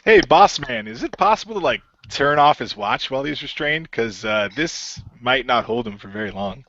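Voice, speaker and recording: A man's voice: mid-range voice, animated, normal speed, a bit bright, dry recording, highs cut off, lightly acted. A man voicing a goofy, easygoing shapeshifting hero in a hesitant, colloquial way for an amateur radio play. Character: David, laid-back goofy shapeshifting male hero, often a genie.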